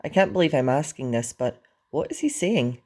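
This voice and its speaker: A man's voice: medium pitch, big pitch swings, normal speed, darkish tone. A man voicing a fretful young Viking boy who talks in fussy, incredulous questions. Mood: worried, incredulous.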